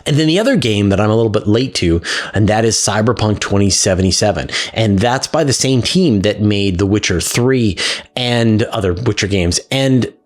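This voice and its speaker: A man's voice: on the low side, expressive, moderate pace. A man hosting a science Q&A show, explaining things in a casual, conversational and enthusiastic way. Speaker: host of a space Q&A show. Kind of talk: casual explanatory talk to camera.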